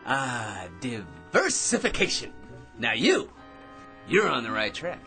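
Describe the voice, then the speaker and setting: A man's voice: mid-range voice, huge pitch swings, slow, bright, forceful tone, faint music behind, exaggerated voice. An actor playing a long-winded, argumentative office bore in his forties who prattles, repeats himself and lectures in a radio drama. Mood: preachy. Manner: pushy, prattling, chuckles at his own points, repeats himself.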